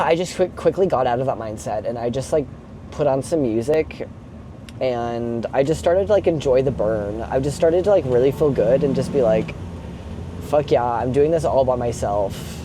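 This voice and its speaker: A man's voice: medium pitch, warm tone. A young man chatting casually and confessionally while driving a truck, in an informal, sweary, rambling way peppered with "like". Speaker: vlogger. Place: inside a moving box truck. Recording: clear vehicle and road noise. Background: engine and road noise.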